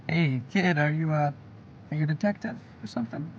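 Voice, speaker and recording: A man playing a kind, patient surgeon in an audio drama, speaking in a gentle, hesitant, amused manner. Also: a little high, moderate pace, dull audio, slight background noise, natural voice. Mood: amused, kindly.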